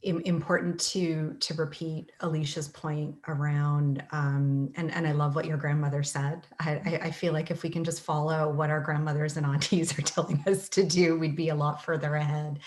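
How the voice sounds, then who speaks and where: A woman panelist answers thoughtfully on a video call, pausing and restarting as she builds her point. Her speaking voice sits very low for a woman. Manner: thoughtful, with frequent restarts and pauses. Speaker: panelist, nonprofit leader.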